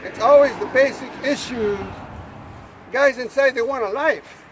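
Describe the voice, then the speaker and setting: An older man addresses a demonstration outdoors, his voice unusually high and loud, plain-spoken and halting with fillers. Street noise and passing vehicles run clearly under his words. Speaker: rally speaker, former prisoner. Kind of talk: outdoor protest speech. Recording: noisy outdoor street recording.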